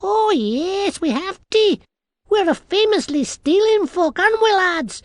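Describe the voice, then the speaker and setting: A narrator voicing a tiny, rowdy male warrior creature with a Scottish accent and loud dialect speech, for a fantasy storybook. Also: very high voice, animated, unhurried, no room sound, studio quality, voice pushed up. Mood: boastful, rousing. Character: tiny rowdy blue-skinned warrior man, anxious, boastful thief.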